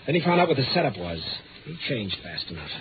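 An actor playing an anxious, harried man in a 1950s radio drama, speaking in a halting, strained, pleading way. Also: medium pitch, sing-song, a little hiss or hum. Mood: bitter, tense. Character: anxious, harried man haunted by fear of darkness. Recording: tinny old radio broadcast with music.

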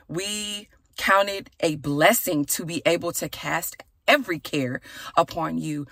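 A woman in her thirties with a raspy, gravelly voice hosts her podcast from inside her car. She talks in a low, chatty way, full of "y'all" and "okay." The sound is dry and close, with no room echo. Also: bright, forceful tone. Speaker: podcast host. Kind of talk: casual chat with prayer.

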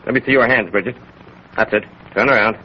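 A man's voice: lowish voice, fast, a little hiss or hum, lightly acted. An actor playing a brusque, suspicious male police officer, curt and commanding, in 1930s radio style. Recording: muffled 1930s radio recording.

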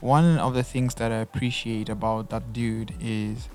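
Flat-toned man asking curious questions. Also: medium pitch, faint music behind. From Tanzania. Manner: attentive, fills pauses with steady "mm" and asks curious questions. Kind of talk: casual conversation.

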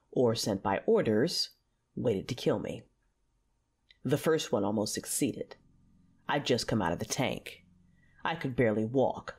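A woman's voice: very deep voice, a little mellow, breathy edge. A woman playing a hardened fallen Jedi warrior, narrating her own story with controlled intensity in an audio drama. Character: battle-hardened, dark-tempered female fallen Jedi warrior.

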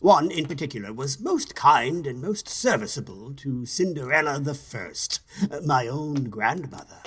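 A man voicing a mild, timid, kindly storybook king with a husky voice and a polite, hesitant manner. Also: medium pitch, expressive, unhurried. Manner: polite, hesitant, gently coaxing. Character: mild, timid, kindly old-fashioned fairy-tale king.

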